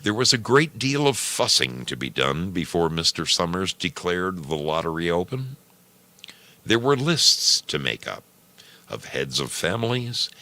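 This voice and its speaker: A man with an extremely deep voice narrates a story in measured phrases. His tone is gravelly and creaky, and his intonation swings in a lively way as he moves deliberately through the prose. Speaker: storyteller and program host. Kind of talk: scripted narration of a short story.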